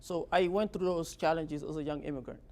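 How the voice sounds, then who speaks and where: A man's voice: a little high, fairly even intonation, fairly quick, a little mellow. A man from Senegal, an office director speaking informally to present himself and his work. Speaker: government office director giving a talk.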